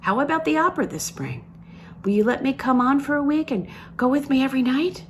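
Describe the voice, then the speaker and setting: A self-satisfied young businessman from a novel, read by a woman, speaks with assurance and a knowing air. The pitch moves in broad swings, with faint noise behind it. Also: animated, a little fast. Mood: confident.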